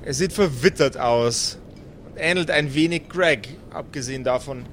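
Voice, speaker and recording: A man narrating an improvised fantasy tabletop audio play as game master, deliberate, pausing between phrases. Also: mid-range voice, a bit bright, a little hiss or hum.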